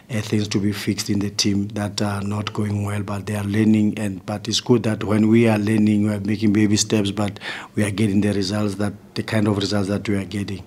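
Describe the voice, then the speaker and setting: South African coach, man, forties, low flat delivery, measured, restarts a lot, table mic in press room. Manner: measured, restarts phrases often. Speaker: football coach. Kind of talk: post-match press conference answers. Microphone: table mic in front.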